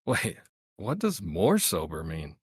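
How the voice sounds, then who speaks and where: A man's voice: sits low, huge pitch swings, slow, slightly rough, no echo, clean studio sound, full character voice. A man playing a weary, anxious everyman cartoon character, hesitant and fretful, in a comedy table read. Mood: puzzled. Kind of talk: live table read of a comedy radio show.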